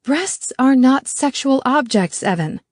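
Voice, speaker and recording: A woman's voice: medium pitch, moderate pace, dry recording, natural voice. A woman voicing an indignant, argumentative female teacher firing emphatic retorts in an audiobook. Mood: combative, scornful.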